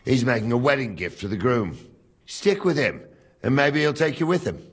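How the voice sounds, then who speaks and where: A gruff old captain of the castle guard in a medieval video game. His voice is husky and moves up and down freely as he talks, blunt and teasing in everyday speech. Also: medium pitch, big pitch swings, moderate pace. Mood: gruff, dry. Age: older. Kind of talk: video game dialogue acting.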